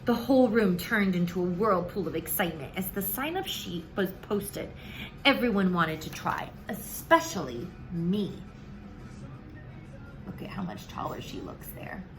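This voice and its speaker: A woman's voice: lowish voice, animated, a little slow, music in the background, poor audio. A woman reading a children's storybook aloud expressively, with dramatic, emphatic phrasing.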